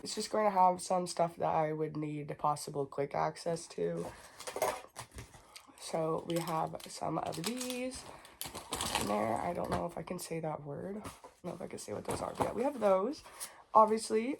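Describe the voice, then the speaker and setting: Young girl vlogging, lowish voice, bit sniffly n raspy, rambly and casual, some noise in the background. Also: moderate pace. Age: 20s. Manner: chatty, unfiltered, rambling asides. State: slight cold, sniffly, a little raspy. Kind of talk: casual packing vlog to camera.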